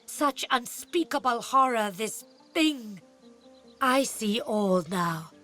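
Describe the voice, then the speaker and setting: A woman playing a blind elf seer in a fantasy game, speaking gravely and haltingly in archaic phrasing. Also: fairly high pitch, expressive, bright, forceful tone, soft background music, heavily acted. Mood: accusing. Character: solemn blind female elf seer, accusing and reverent. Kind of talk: fantasy video game acting.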